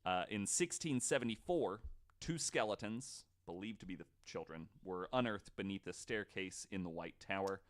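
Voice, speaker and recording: Guy with a lower voice hosting a podcast, reads off notes n chats, swears a bit. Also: normal speed. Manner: informal, swearing. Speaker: podcast host. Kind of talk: casual storytelling from notes with asides.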